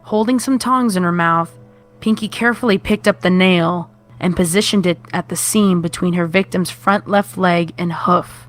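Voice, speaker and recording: A woman's voice: fairly low pitch, moderate pace. A woman reading out a horror story as scripted narration. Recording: music playing behind the voice.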